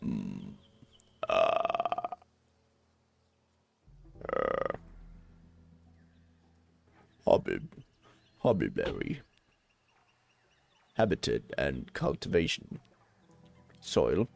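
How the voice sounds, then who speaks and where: A man voicing a slow robot that reads out a plant encyclopedia in a deliberate monotone with clipped phrases. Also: very deep voice, vocal fry, breathy edge, pitched below the performer's own voice, full character voice. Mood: flat, mechanical.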